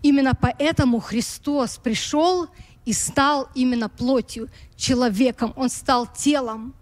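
A woman preaching a sermon in Russian, speaking slowly and pausing to search for words, her Russian touched by long years in America. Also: sits high, steady tone, long reverb tail. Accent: American-influenced Russian. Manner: slow, searching for words, with light laughs.

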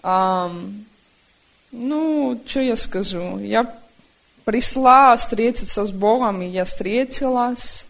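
A woman with a slight American accent giving a casual, halting personal testimony. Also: mid-range voice, rough recording. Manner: casual, halting, plain-spoken. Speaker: church member giving testimony.

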